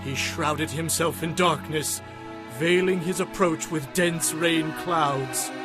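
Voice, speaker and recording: A man's voice: fairly high pitch, steady tone, takes it slowly. An actor playing a devout warrior king, reciting praise to God solemnly and fervently in a dramatized scripture reading. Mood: solemn awe. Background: music.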